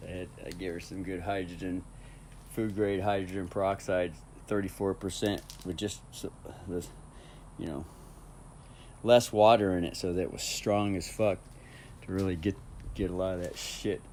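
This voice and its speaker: A man's voice: sits low, little pitch movement, normal speed, darkish tone. A man rambling casually in a home vlog, with a profane, unfiltered way of talking. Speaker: vlogger. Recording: clearly noisy ambient background.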